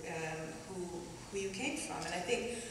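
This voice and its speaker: A woman from Kenya with a Kenyan accent, speaking thoughtfully and haltingly as an artist on a panel stage. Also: on the low side, slight background noise. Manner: thoughtful, halting, many pauses and restarts. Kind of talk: reflective onstage conversation. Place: stage in a large auditorium. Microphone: stand mic a little away. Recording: echoey hall, distant mic.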